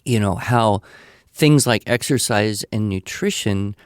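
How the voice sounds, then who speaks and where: A man's voice: fairly low pitch, measured pace, clear, resonant tone, no echo, studio quality. A man speaking conversationally as a podcast guest, explaining his advice on education in an emphatic way. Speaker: podcast guest answering listener questions.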